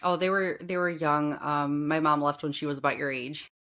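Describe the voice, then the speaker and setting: A woman's voice: sits low, fairly even intonation, moderate pace, a bit bright. A woman hosting a podcast in a casual interview chat, gushing and effusive as she talks. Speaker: podcast host.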